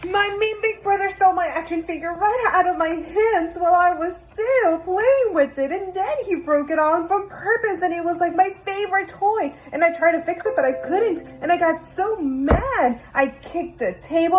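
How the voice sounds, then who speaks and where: A woman's voice: very high voice, moderate pace, few pauses, pitched above the performer's own voice, low-quality recording. A woman voicing an upset little boy who rattles off complaints in a breathless, sobbing rush. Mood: tearful.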